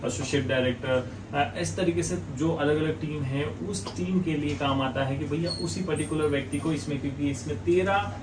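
A man in his thirties with an Indian accent, giving a casual unboxing and review in a halting, repetitive explaining manner. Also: a little high. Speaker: video host reviewing products. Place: small indoor office. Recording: distant mic, clearly noisy room ambience.

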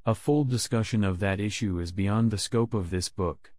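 A man reading scripted language test sentences aloud in a measured, deliberate way. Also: fairly low pitch, darkish tone, no room sound. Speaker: test prompt reader.

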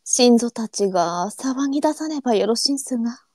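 A woman playing a tender-hearted, melancholy courtesan of old Japan in a live voice drama, speaking softly and demurely in courtesan dialect. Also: fairly high pitch, lively intonation, normal speed, no echo, studio quality. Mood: somber. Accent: courtesan arinsu dialect. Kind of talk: online live voice drama acting.

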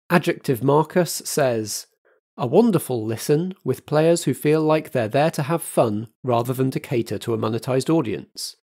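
Man, stagey compere, camp and playful, mid-pitched voice. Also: a little mellow, slightly rough. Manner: camp, luvvie stage host. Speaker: podcast compere. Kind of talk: theatrical announcements.

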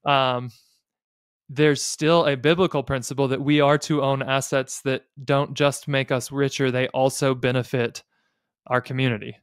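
A man's voice: medium pitch, little pitch movement, moderate pace, clear, resonant tone, dry recording. Male podcast host, American, clean resonant voice, thoughtful explainer delivery, tight dry studio sound. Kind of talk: explanatory podcast talk on personal finance and faith. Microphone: close studio mic on a boom arm.

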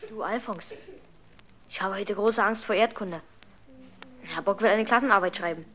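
A woman plays a sly schoolboy prankster in an old German children's radio drama. The voice sits at a middling pitch and sounds smug. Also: moderate pace, a bit bright, lightly acted. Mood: feigned worry. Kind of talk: 1970s German children's radio play acting.

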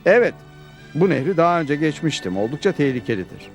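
A brave cartoon cowboy with a fairly high male voice and animated intonation. He is polite, practical and quick to caution, ready to help. Music plays behind him. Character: brave, helpful cowboy hero, calm and practical. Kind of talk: cartoon dub acting.

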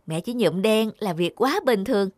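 A man's voice: high-pitched, lively intonation, moderate pace, darkish tone, no room sound, studio quality. A man voicing a caring young father in a cartoon, reassuring and coaxing. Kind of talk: cartoon voice acting.

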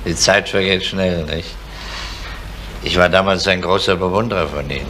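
A gruff older inspector in a seventies radio crime drama. His voice sits low and he probes insistently. There is clear noise behind him on the recording. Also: fairly even intonation, normal speed, sharp tone. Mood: polite, probing. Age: middle-aged. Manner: needling, insistent, with tag questions. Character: gruff, probing older police inspector, male. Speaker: actor in a crime radio play. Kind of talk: 1970s German radio drama acting. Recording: noisy 1970s radio recording.

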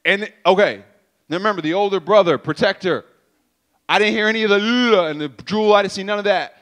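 A young man speaking on a stage with a handheld mic, telling an animated story, acting out sound effects and talking straight to the crowd. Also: high-pitched, brisk. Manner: animated, acts out sounds and voices, addresses crowd directly. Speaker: speaker at a church youth event. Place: stage in a purple-lit hall. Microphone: handheld microphone.

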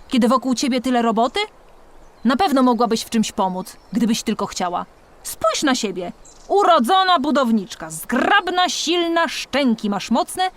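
Woman as a firm, animated old mentor ant. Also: fairly high pitch, voice pushed up. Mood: scolding, then inviting. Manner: firm, lecturing, motherly. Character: stern, kindly old female worker ant, instructive mentor. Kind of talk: children's storybook character voice.

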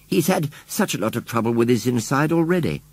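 Plucky young girl, storybook, adult male reader voicing her: earnest, anxious pleading, lifted pitch. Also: animated, a little fast, darkish tone, no echo.

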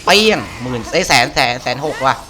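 Bright-voiced man commentating a game. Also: fairly high pitch, animated, fairly quick. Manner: exclamatory, slangy, talking to himself. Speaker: gaming video host playing through a game. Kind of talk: casual gameplay commentary.

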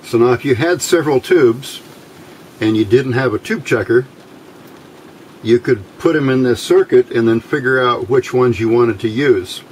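A man giving an unscripted hands-on electronics demonstration in a measured, explanatory way, pausing between short phrases. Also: mid-range voice, poor audio. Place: indoors at a workbench. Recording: steady background room noise.

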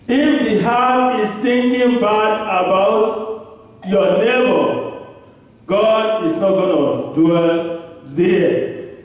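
A man in his thirties with a Nigerian accent, preaching a sermon at a pulpit into a handheld mic in a church hall. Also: high-pitched. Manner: slow, emphatic preaching with long pauses. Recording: echoey church hall recorded from far off.

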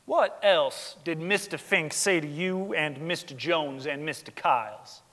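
A man's voice: on the high side, unhurried, sharp tone. A man playing a formal lawyer questioning witnesses in a staged period courtroom drama. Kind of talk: staged dramatic reading of a trial. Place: large theater stage, indoors. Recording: hall reverb from a theater stage.

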